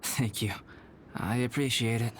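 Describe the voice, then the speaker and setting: A man voicing a calm young male alchemist in a video game, speaking in a measured, formal and polite way over game music. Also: mid-range voice, a little hiss or hum, close to an everyday voice.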